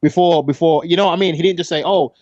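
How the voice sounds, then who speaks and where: A man with a London accent arguing in casual podcast debate, using heavy slang in an animated manner. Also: on the high side, fast, dry recording, muffled recording. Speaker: podcast co-host.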